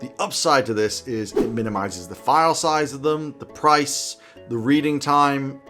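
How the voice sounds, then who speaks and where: A man in his thirties with a mid-pitched voice, hosting a tabletop advice video. He is wry and emphatic, speaking to camera from a small room over faint music. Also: unhurried. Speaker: host giving game master tips. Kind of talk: semi-scripted video commentary to camera. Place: small indoor home office.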